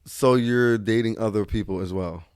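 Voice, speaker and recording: Playful male podcast interviewer, slightly low voice, dry close sound. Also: darkish tone, smooth, no echo. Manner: playful, joking, chimes in with quick asides. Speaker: guest co-host interviewer. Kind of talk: casual chat.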